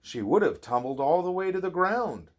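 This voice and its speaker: A man reading a storybook aloud to a child as a parent, expressively and with drawn-out phrases. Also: sits high, animated.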